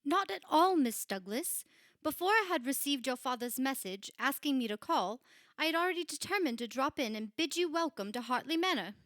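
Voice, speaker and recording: A courteous society lady, acted by a woman in a radio play. Her voice is very high, and she speaks with formal, sociable politeness in a dry, close recording. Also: lively intonation, brisk, fairly bright tone, dry recording. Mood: polite. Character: polite, sociable English lady neighbour, Miss Roberts. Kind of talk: old-time radio drama acting.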